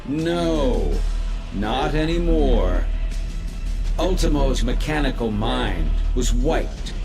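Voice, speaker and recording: An actor voicing a cold, grandiose, vengeful killer robot in a video game, with ominous, pompous delivery. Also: male voice, medium pitch, vocal fry. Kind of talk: video game cutscene acting. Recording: loud music behind. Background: loud music.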